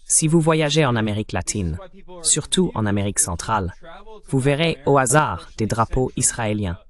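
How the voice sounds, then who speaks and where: A man delivering a voice-over translation of political commentary in a measured, explanatory style. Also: medium pitch, lively intonation.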